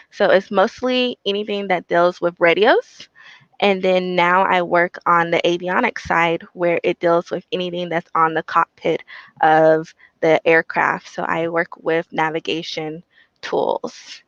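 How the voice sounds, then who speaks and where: A woman's voice: lowish voice, fairly bright tone, no room sound, highs cut off. A young woman from Texas giving an upbeat, enthusiastic talk to teens about her work as a systems engineer. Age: young adult. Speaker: systems engineer, guest speaker.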